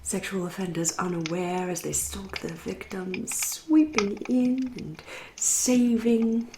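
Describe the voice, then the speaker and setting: A woman with an English accent playing a seductive, wry, refined female vampire in a soft, whispered ASMR roleplay, speaking slowly and formally. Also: medium pitch, background noise. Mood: enticing, playful. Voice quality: soft, breathy, often whispering. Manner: slow, lingering, genteel, archly formal. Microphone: close ASMR microphone.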